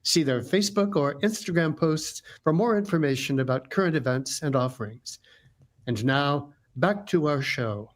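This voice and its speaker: A man's voice: fairly high pitch, expressive, normal speed. A man working as a show announcer, delivering a scripted radio sponsor message in a polished, promotional manner.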